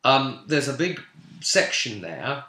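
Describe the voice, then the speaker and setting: A British man casually recounting a tabletop game as its game master, in an animated storytelling way. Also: mid-range voice, takes it slowly. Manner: animated, acts out players' remarks in quotes. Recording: roomy, echoing room sound.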